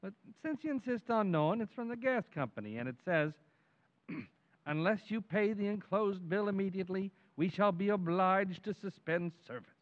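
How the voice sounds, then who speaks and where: A man's voice: sits high, normal speed, lightly acted. A man playing a nosy, wisecracking working-class neighbour in an old-time radio comedy, brash and slangy. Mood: brash, needling. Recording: dull, narrow-band sound.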